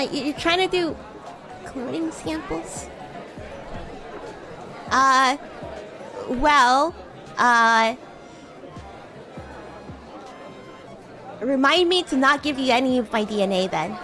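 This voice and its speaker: Woman playing a high-pitched bratty demon schoolgirl. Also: a little slow, long pauses, over music, a crowd in the background. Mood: wary, teasing. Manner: teasing, bratty, hesitant with flustered stammers. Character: bratty young female demon schoolgirl, teasing and flustered. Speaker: VTuber streamer playing a character. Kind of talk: improvised roleplay livestream, chatting with viewers.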